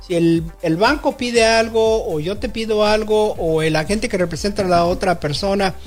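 A man's voice: high voice, normal speed, faint music behind. A man from Mexico, a real estate guest on a radio show, explaining the process plainly and joking now and then.

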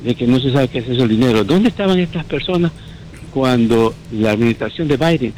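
Man, caller on a phone line, halting, mid-pitched voice, light background noise. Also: lively intonation, a little mellow. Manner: hesitant, many fillers and restarts. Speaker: radio caller. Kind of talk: call-in opinion.

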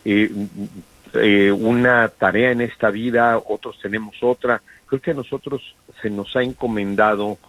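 A middle-aged man from Mexico, co-hosting a radio talk show, speaks warmly and earnestly, rambling a little with frequent fillers. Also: on the low side, steady tone, unhurried, no echo, poor audio. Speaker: radio co-host and reporter. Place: radio studio. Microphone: studio microphone.

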